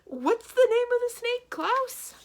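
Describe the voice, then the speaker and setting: A woman voicing a panicked, babbling male banker in a storybook read-aloud, shrill and exclamatory. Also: very animated, exaggerated voice. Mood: frantic alarm. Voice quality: shrill, high-pitched. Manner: exclamatory, rapid-fire babbling.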